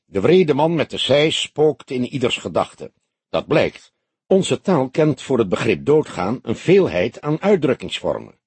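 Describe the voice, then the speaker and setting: Man reading as a grave old detective, husky voice. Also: medium pitch, sing-song, darkish tone, no echo, voice pushed up, heavily acted. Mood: lecturing. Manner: grave, measured, lecturing. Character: old male police detective, solemn, philosophical, gentle. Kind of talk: audiobook character dialogue.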